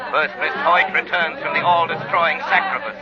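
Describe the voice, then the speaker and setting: High-pitched, taunting invisible avenger played by a man. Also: fairly even intonation, moderate pace, lightly acted. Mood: commanding, taunting. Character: mocking invisible male avenger challenging a villain. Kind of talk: old-time radio drama acting. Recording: dull vintage radio, music and crowd behind.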